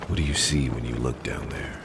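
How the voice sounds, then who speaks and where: An actor playing a grim, terse masked male vigilante with a deep gravelly voice in a dramatic audio roleplay. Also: steady tone, heavy background noise. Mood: terse, investigative. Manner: terse, slow, brooding, commanding.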